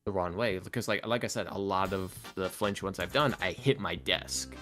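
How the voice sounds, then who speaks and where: A man who makes roleplay videos, talking casually as a podcast guest and acting out quotes as he tells stories in an animated way. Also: low-pitched, moderate pace, faint music behind. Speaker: interview guest, ASMR roleplay video creator.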